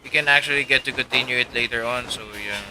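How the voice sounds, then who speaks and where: A man's voice: mid-range voice, quick pace, bright, forceful tone, rough recording. A young man chatting casually on a live game stream with friends, slangy, rambling and jokey. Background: faint game music.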